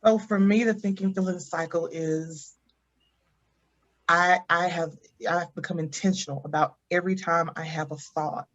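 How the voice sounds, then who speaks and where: A woman's voice: on the low side, steady tone, moderate pace, highs cut off. A woman speaking as a guest about her faith, intense and self-questioning. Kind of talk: reflective faith talk.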